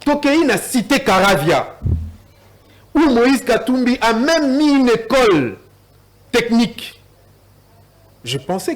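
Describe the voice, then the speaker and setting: Animated high-voiced Congolese man arguing. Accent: Congolese French. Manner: mixes French and Lingala, repeats words while searching. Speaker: debate guest. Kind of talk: heated TV political debate.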